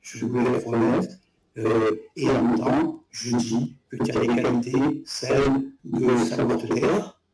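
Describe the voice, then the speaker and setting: Man, blunt critic, halting delivery; recorded far off in an echoey room with a loud crowd behind. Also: medium pitch, steady tone. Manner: blunt, critical, hesitant restarts. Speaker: guest in a live debate. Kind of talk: live stream debate.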